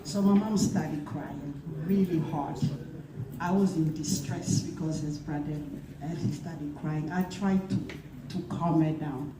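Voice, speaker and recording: A woman's voice: sits low, fairly even intonation, slow pace. A woman telling an emotional personal story at a memorial gathering. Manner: emotional storytelling, switching between languages, mounting intensity. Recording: echoey hall, distant mic, clear background noise.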